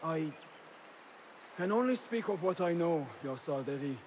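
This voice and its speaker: Formal, higher-pitched cautious envoy. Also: male voice, lively intonation, unhurried, darkish tone, a little hiss or hum. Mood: guarded warning. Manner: formal, guarded. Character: cautious male political envoy warning rebel allies. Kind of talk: scripted video game cutscene acting.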